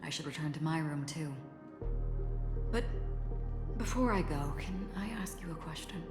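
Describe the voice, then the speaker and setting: A woman voicing a calm, solemn wandering swordswoman in a video game, measured, polite and cryptic. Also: sits low, natural voice. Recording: echoey reverb, music behind.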